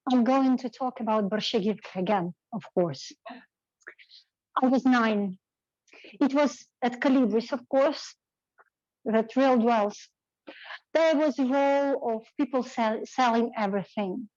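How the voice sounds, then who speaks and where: A woman's voice: on the high side, measured pace, pauses often, a little mellow, no echo. A woman telling a personal story off the cuff as a club speaker. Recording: dull, muffled lo-fi sound.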